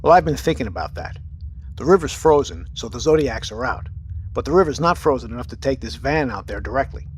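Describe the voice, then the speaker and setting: An actor playing a steady, pragmatic submarine captain in an audio drama, with a clipped, commanding, matter-of-fact manner. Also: male voice, mid-range voice, fairly quick, a little hiss or hum, lightly acted. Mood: firm, resolved.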